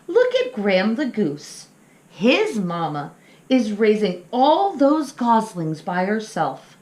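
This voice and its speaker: A woman acts out a father wolf from a children's storybook. The delivery is kindly, wise and reassuring, with unhurried, expressive phrasing. The recording is roomy and echoing. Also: medium pitch, pitched above the performer's own voice. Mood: explaining. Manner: measured, reassuring.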